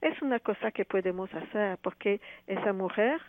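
A woman, a playwright and actress speaking Spanish as a second language, answering interview questions haltingly with many restarts. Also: mid-range voice, expressive, no echo. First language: not Spanish. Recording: tinny phone line.

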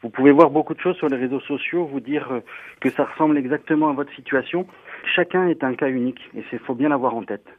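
Male guest lawyer, earnest and halting, heard over a thin phone line. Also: mid-range voice. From northern France. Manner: earnest, explanatory, with frequent hesitations. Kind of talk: radio interview answers. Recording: tinny phone line, dry.

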